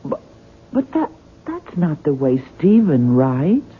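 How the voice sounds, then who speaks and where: An actress playing a gentle, bereaved woman, wistful and hesitant, in 1970s radio drama style. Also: low-pitched, huge pitch swings, breathy edge, exaggerated voice. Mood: anxious, surprised. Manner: hesitant, halting, polite. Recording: 1970s radio broadcast, narrow phone-like band.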